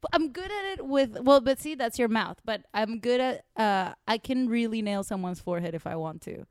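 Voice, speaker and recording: A woman's voice: a little high, expressive, brisk, smooth, dry recording, studio quality. A woman from Venezuela, a native Spanish speaker, telling stories casually with a giggly, self-mocking, sweary manner. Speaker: podcast guest, comedian. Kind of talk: casual conversational storytelling.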